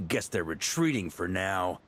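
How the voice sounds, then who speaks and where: A man's voice: deep voice, sing-song, a little slow, fairly bright tone, full character voice. A man voicing a confident, battle-hardened knight commander in a video game, speaking in an assured, conversational and bold manner. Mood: assured, strategic. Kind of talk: video game story dialogue.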